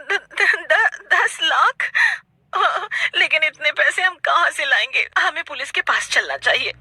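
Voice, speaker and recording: A woman puts on a protective, overwrought cartoon mother. The voice leaps very high and rings sharp and urgent, with a dry studio sound. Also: animated, a little slow, no room sound, heavily acted. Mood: shocked, tearful. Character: frantic, protective mother of a young boy. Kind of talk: melodramatic cartoon story voice.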